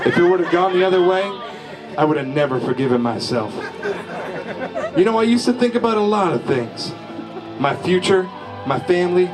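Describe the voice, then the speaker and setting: A man reading a comic first-person story aloud in a slow, folksy deadpan drawl at a live comedy show. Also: fairly high pitch. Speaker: storyteller reading his father's short story.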